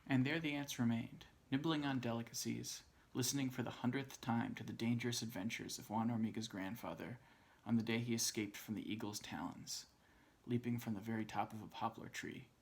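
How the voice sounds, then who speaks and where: A man reading a children's story aloud as an expressive narrator. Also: mid-range voice, a little fast, vocal fry. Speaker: storybook reader.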